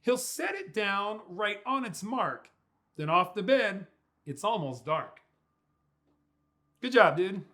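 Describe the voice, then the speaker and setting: Give me an animated, high-pitched man reading storytime. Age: young adult. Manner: playful, expressive, with slow drawn-out words. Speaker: pastor reading to children. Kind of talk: animated children's storybook read-aloud. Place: small furnished room indoors.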